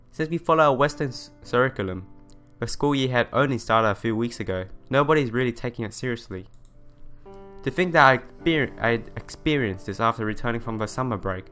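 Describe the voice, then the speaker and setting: A man narrates a visual novel in a smooth, clear voice. He reads the prose aloud and drops in casual asides as a let's player. Faint music plays behind him. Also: mid-range voice, fairly bright tone. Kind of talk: narration reading with casual commentary. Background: game music.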